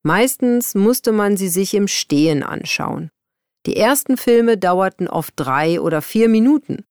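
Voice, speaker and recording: A woman reading scripted exam texts and interview questions in a clear, lively way. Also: medium pitch, a little slow, no echo, clean studio sound. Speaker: exam recording reader.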